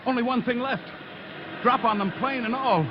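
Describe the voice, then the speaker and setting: A man's voice: very high-pitched, normal speed. A man playing an army bomber pilot lieutenant in a 1930s radio drama, reporting in clipped military style. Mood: desperate resolve. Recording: dull lo-fi old broadcast, loud noise.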